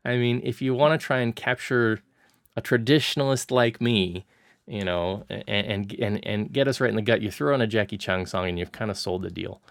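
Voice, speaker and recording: A man with a gravelly voice gives a casual film review on a podcast. He stammers through restarts as he hunts for words, and the recording is dry and close. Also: fairly low pitch, fairly even intonation, brisk, dry recording.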